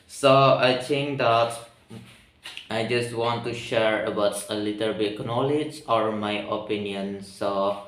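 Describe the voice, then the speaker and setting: A man with an Indonesian accent, speaking English as a second language, talking slowly and haltingly, searching for words with many pauses and ums. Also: fairly low pitch, steady tone, fairly bright tone. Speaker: vlogger practicing spoken English. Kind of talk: reflective solo monologue. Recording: roomy echo, mic at a distance.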